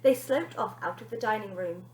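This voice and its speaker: A woman teacher reading a children's story aloud in an expressive narrating style. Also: mid-range voice, moderate pace. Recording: echoey room.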